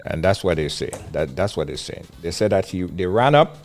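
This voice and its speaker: A man's voice: low voice, lively intonation, a little fast, darkish tone, faint music behind. A man hosting a call-in radio show, talking emphatically and repeating his points. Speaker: radio talk show host.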